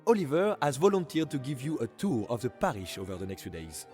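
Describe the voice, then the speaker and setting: Man voicing a lively, courteous French concierge. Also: mid-range voice, fast, soft background music, pitched above the performer's own voice, natural voice. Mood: courteous, welcoming. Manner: polite, formal, effusive. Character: courteous, eager French male hotel concierge. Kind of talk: audiobook character dialogue.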